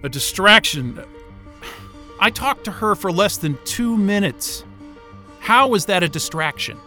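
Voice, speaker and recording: A frustrated sarcastic station worker in an audio drama, sharp voice a bit on the high side, exasperated. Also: male voice, lively intonation, a little slow, faint music behind, heavily acted. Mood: indignant. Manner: sardonic, exasperated, swearing. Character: frustrated, sarcastic man trapped at remote station. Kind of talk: naturalistic audio drama acting.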